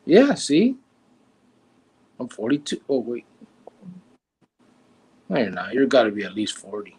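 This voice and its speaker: A man's voice: mid-range voice, wildly swooping pitch, a little slow, darkish tone, husky. A man, hosting a casual livestream, joking and teasing while reading out viewer comments. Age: 40s. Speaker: livestream host.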